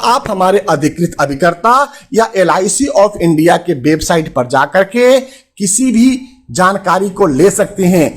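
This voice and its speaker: A man with an Indian accent hosting a finance advice channel, addressing viewers formally and emphatically, repeating phrases for stress. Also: high voice. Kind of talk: presenter addressing viewers with a consumer alert.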